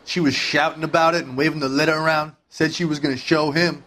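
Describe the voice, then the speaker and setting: A man's voice: fairly high pitch, little pitch movement, fast, bright, forceful tone. An actor playing a world-weary male bartender in a 1940s detective video game, talking in a casual, slangy way. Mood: casual, dismissive. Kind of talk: scripted dramatic game acting.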